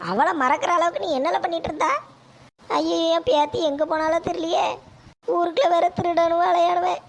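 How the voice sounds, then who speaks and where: A sharp-tongued old grandmother from a village comedy skit. She speaks in a high, gravelly voice. She nags and scolds without pause, with a faint background hum. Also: steady tone. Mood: scolding. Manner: nagging, scolding, sharp rebukes. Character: scolding village grandmother, older woman, quick-tempered.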